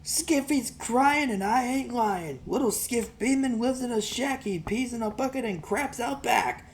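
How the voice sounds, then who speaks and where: A snotty, spiteful rich kid played by a man in an audiobook, pitched well up into a high register. He jeers in a mocking sing-song, with some noise on the recording. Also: measured pace. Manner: taunting, sing-song jeering. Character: jeering, spiteful rich boy bully. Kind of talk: acted audiobook character voice.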